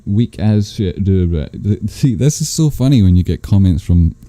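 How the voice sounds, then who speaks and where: A man's voice: animated, moderate pace, warm tone, dry recording. A man from Scotland hosting a live stream, reading chat comments aloud with dry, teasing, rambling asides. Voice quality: bassy voice. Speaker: stock stream host. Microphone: desk microphone.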